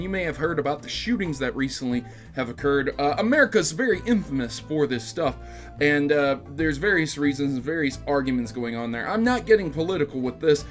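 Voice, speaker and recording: A man hosting a video game news show, giving casual, emphatic commentary with rambling asides and addressing his audience directly. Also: fairly high pitch. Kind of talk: casual scripted-topic news commentary to camera.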